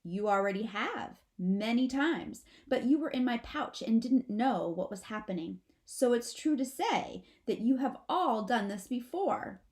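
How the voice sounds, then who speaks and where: A woman voicing a stern mother possum from a children's storybook, commanding and deliberate like a stage director. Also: fairly high pitch, animated, a little mellow. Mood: patient, reassuring. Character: stern, theatrical mother possum instructing her babies. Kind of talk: children's storybook character reading.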